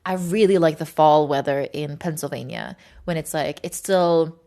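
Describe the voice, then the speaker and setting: A woman's voice: low-pitched, moderate pace, dark tone. A woman from Sweden chatting casually and informally to livestream viewers, rambling with plenty of filler words and some swearing. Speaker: livestream host.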